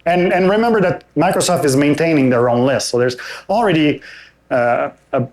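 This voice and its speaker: A man answering audience questions after his conference talk, his voice sitting a little high for a man. He is relaxed and often pauses on "uh" or restarts a phrase while he thinks. The hall around him adds a clear echo. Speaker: conference presenter. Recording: roomy hall sound.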